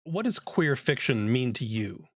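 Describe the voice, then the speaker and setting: A man's voice: mid-range voice, very animated, measured pace, no echo, studio quality. A man conducting an interview in a conversational way.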